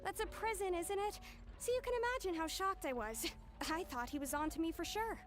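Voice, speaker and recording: A young female thief from an anime game, her voice high and earnest. She explains herself defensively and pleadingly, with faint music underneath. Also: animated, fairly quick. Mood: recounting, rueful. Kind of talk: dramatic anime game acting.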